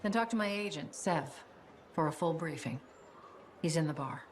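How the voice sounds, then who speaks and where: A woman playing a calm, authoritative spymaster in a video game cinematic, speaking in a measured way. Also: low-pitched, a little hiss or hum. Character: calm, authoritative female spymaster handing out contracts.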